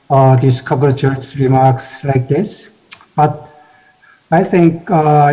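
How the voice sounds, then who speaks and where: Man, Korean accent, native Korean speaker, soft mellow tone, dull lo-fi recording, formal halting address. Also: medium pitch. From South Korea. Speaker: institute president giving congratulatory remarks. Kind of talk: formal prepared speech.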